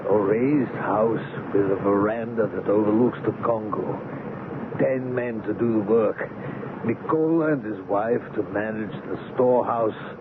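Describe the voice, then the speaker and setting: A man's voice: lowish voice, a little slow, natural voice. A man playing an eager, greedy Dutch ivory trader in a radio drama, formal and grandiose. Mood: elated. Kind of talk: old-time radio drama acting. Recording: muffled old radio broadcast, noisy background.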